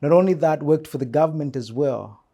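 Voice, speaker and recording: A man with a Zambian accent speaks in a slightly high, warm and relaxed voice. He answers like a church official in an interview, earnest and measured, leaning on repeated phrases to press his point. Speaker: church mission secretary.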